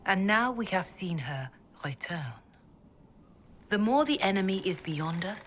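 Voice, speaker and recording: An actress playing a calm, grave priestess who speaks softly and in a measured way, often half-whispered. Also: female voice, lowish voice, slight background noise. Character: calm elderly woman cleric, gentle and grave.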